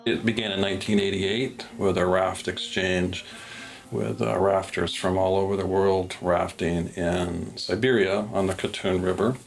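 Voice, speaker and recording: Deep, gravelly male interviewee, enthusiastic storyteller. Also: steady tone, a little slow, soft background music. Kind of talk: documentary interview.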